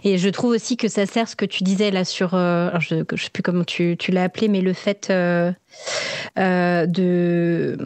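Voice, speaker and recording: A woman's voice: fairly low pitch, steady tone, normal speed, a little mellow, clean tone, no room sound. Woman podcast host in dry sound. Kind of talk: casual interview chat.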